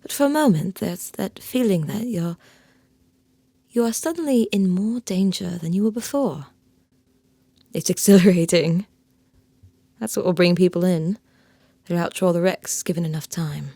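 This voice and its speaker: A woman playing a prim, earnest theme park professional in an audio drama, speaking in a measured, reserved way. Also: lowish voice, mellow, no room sound.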